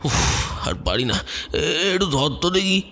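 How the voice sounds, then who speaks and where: An actor playing a lecherous, self-important dignitary who complains in a whiny, groaning way in an audio story. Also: male voice, on the high side, big pitch swings, takes it slowly, sharp tone, slight background noise, full character voice. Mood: complaining, groaning. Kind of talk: dramatised Bengali horror audio story.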